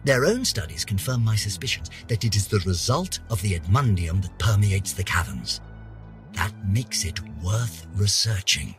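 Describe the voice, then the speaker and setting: A pompous British scientist, wildly animated. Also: male voice, lowish voice, moderate pace, faint music behind. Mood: fascinated. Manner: pompous, haughty, florid, melodramatic. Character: pompous, vain, aging male scientist, indignant and obsessive. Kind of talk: theatrical game journal narration.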